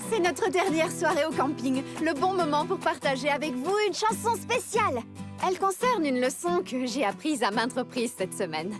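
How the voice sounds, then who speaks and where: A woman's voice: high-pitched, expressive, normal speed. A woman voicing a cheerful adult camp leader in a French cartoon dub, announcing acts in an upbeat way. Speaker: camp host. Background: music.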